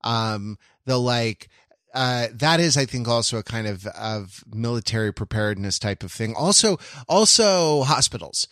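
A man in casual podcast conversation, co-hosting with a halting, digressive manner and frequent self-correction. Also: medium pitch, lively intonation, a bit bright, dry recording, studio quality. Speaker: podcast co-host.

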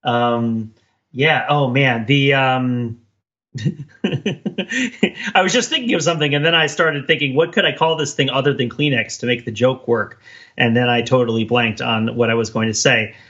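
A man with a medium-pitched voice co-hosts a casual, analytical podcast. He talks discursively, tagging points with "right?" as he goes. The audio is a little dull and lo-fi. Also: a little slow, a bit bright. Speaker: podcast co-host.

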